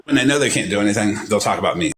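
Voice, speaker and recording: Low-voiced man over noisy background. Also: expressive, very fast, fairly bright tone. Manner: blunt, profane. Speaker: livestream panelist. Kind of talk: casual gossip chat. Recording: clearly noisy background ambience.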